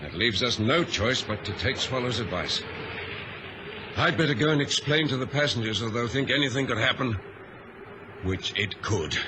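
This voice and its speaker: An actor playing a steady, commanding ship's captain in an old radio drama, speaking crisply and with authority. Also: male voice, mid-range voice, moderate pace, natural voice. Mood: grave. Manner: crisp, authoritative, clipped orders. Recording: hissy vintage radio recording.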